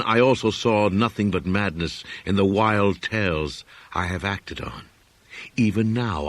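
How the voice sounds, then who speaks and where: A man with a low, somewhat husky voice reads a horror story aloud. His delivery is solemn and deliberate, in the measured style of scripted audiobook narration. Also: dry recording. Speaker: audiobook reader.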